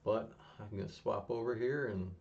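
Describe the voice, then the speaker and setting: A young man reading his own spoken word poem in a low-key, even delivery he calls monotonous. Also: normal speed, vocal fry. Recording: dull lo-fi home recording.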